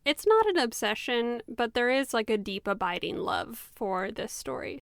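Higher-voiced woman hosting a podcast, crisp and dry. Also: sing-song, normal speed, no room sound. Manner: enthusiastic, chatty. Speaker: podcast co-host. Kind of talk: casual podcast chat.

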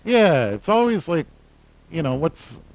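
A man co-hosting a podcast in casual chat, talking with a loud, full voice that carries, laughing often. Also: on the high side, big pitch swings, a little slow, creaky, low-quality recording. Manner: loud, carrying voice. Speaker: podcast co-host.

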